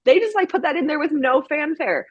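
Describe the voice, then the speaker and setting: A woman's voice: high voice, expressive, a little fast, dry recording, highs cut off. A woman chatting casually and enthusiastically as a co-host of a book discussion, peppering her talk with "like". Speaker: book review show co-host.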